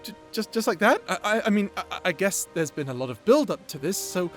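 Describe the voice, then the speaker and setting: A man's voice: high voice, sing-song, brisk, faint music behind. A man playing a shy, flustered young man in love, stammering and hesitant in a dramatic fantasy audio roleplay. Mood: giddy, flustered.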